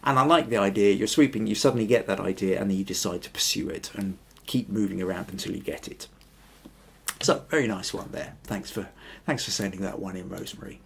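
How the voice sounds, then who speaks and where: A man's voice: lowish voice, fairly quick, gravelly, slight background noise. An older man hosting a photography podcast from a small home room, chatting in a thoughtful, rambling way and reading out viewer comments. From Scotland. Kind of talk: casual live-stream chat.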